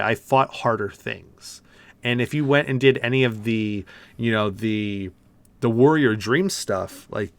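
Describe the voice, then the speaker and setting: A man speaks as a podcast co-host in a casual, conversational way about games. His voice sits at an average pitch, and the sound is dry, with little room to it. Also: vocal fry, fairly bright tone, no echo. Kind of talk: casual gaming discussion.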